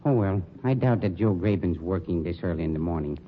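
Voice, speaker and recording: Suave, sardonic crime boss, low-voiced. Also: male voice, expressive. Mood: dry sarcasm. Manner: polished, sarcastic, condescending, deliberate diction. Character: suave, sardonic, polished male funeral home director, shady boss. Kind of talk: old-time radio crime drama acting. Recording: dull, noisy old radio recording.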